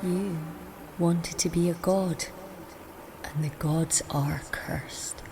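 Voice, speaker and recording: An actress playing a cunning, frail-seeming woman in an audio drama, formal and deliberate, often dropping into breathy whispers over a noisy ambient soundscape. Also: on the low side, steady tone, dark tone. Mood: cold, bitter.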